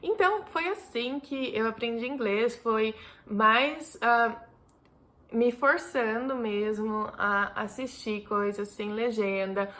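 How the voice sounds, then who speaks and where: Woman, twenties, from Brazil, casual vlog chat, rambling, fairly high pitch. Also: takes it slowly, a bit bright. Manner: chatty, rambling, frequent restarts and fillers. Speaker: vlogger.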